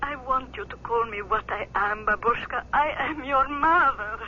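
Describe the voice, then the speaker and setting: A woman's voice: a little high, normal speed, pushed and bright, muffled recording. An actress playing an effusive, insistent mother in a radio drama, with a melodramatic, imperious delivery. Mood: gushing, insistent. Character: effusive, insistent Russian mother claiming her lost daughter. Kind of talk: 1970s radio drama acting. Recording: band-limited noisy vintage radio, telephone sound.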